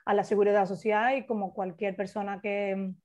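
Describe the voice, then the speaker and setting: A woman's voice: medium pitch, level tone, moderate pace, darkish tone, lo-fi sound. A woman leading a workshop, explaining at length in an informal way, with many hesitations and restarts, over a video call. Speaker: workshop facilitator.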